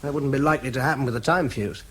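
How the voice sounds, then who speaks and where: An actor with a British accent playing an earnest, tired young military bomb investigator in a radio drama, courteous and a little hesitant. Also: male voice, medium pitch, racing, a bit bright, slight background noise, natural voice. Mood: weary, urgent.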